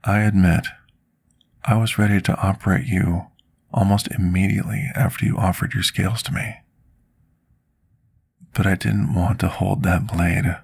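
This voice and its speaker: A man playing a scarred, remorseful potion master in an intimate ASMR roleplay, speaking formally and deliberately like someone confessing his past. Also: deep voice, steady tone, creaky, husky, no room sound, full character voice. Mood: earnest, reflective. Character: scarred male potion master, devoted, remorseful, soft-spoken servant.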